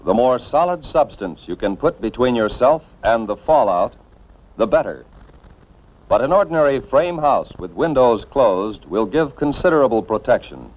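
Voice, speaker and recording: An American man reading scripted 1950s instructional film narration in a measured, formal, instructive manner. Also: medium pitch, big pitch swings. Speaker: narrator of a civil defense educational film. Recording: dull, band-limited 1950s film soundtrack.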